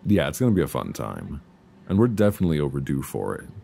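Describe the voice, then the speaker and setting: A man playing an affectionate, reassuring boyfriend in an intimate, casual ASMR roleplay, speaking softly and soothingly close to a binaural mic. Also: very deep voice, very animated, gravelly, full character voice. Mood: affectionate, relaxed. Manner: soft, intimate, unhurried, soothing. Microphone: close binaural microphone. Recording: faint background noise, binaural.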